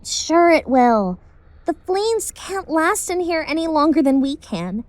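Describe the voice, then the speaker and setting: A woman's voice: high voice, big pitch swings, a little slow, no echo. A woman playing a hopeful young female adventurer in an amateur audio drama. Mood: hopeful, excited.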